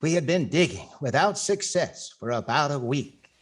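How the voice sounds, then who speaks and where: A man's voice: on the high side, big pitch swings, normal speed, heavy background noise. A man playing a wry 1940s radio comedian, narrating with dry, mock-serious delivery. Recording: music behind the voice.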